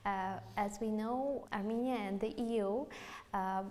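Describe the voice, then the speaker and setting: A woman's voice: mid-range voice, a little slow, darkish tone, big-room sound. A young woman interviewer asking questions hesitantly, with frequent ums and uhs. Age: young adult. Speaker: youth interviewer.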